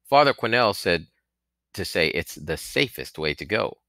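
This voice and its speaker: A man's voice: low voice, very animated, measured pace, pushed and bright, dry recording, studio quality, full character voice. A man reading the part of a hesitant, evasive village boy bringing a message in a storybook. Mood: urgent. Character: village boy, slightly older, hesitant messenger.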